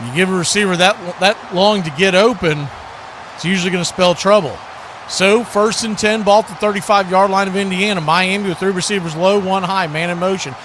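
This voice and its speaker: An American man giving live football play-by-play in short clipped phrases that build with excitement. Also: sits high, fairly quick, noisy background. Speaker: play-by-play announcer.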